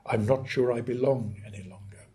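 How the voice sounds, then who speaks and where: An older man from northeast England, giving a measured, emphatic academic lecture and often clearing his throat. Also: mid-range voice, mellow. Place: lecture hall.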